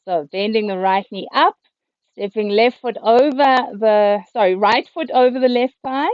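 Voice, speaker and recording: A woman yoga teacher, a little hoarse, calmly cueing breath and movements through a class over a video call. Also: on the high side, moderate pace, no room sound. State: slightly hoarse. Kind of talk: guided yoga instruction. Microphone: computer mic on a video call. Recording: dull, lo-fi video call audio.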